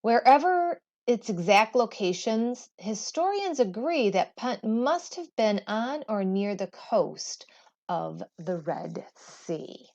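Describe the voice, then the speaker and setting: A woman teacher reading a storybook aloud with expressive, deliberate, emphatic phrasing. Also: mid-range voice, highs cut off.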